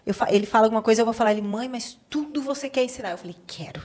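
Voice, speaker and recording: A woman's voice: fairly high pitch, a little mellow. A middle-aged Brazilian woman hosting a podcast, speaking conversationally in a didactic, emphatic way. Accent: Brazilian Portuguese. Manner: didactic, emphatic, deliberate listing. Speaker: podcast host. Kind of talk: conversational interview with explanations.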